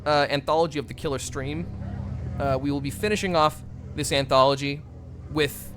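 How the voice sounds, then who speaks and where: A man's voice: a little high, normal speed, background noise. A man streaming a game and chatting candidly with his viewers, rambling with restarts and swearing. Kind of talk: casual livestream chat with viewers.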